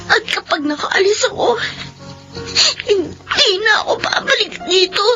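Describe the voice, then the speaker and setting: A woman's voice: very high-pitched, expressive, unhurried, sharp tone, full character voice. A woman playing a mistreated, earnest young niece in a melodramatic Filipino radio drama. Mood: tearful, bitter. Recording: dull lo-fi radio, music behind.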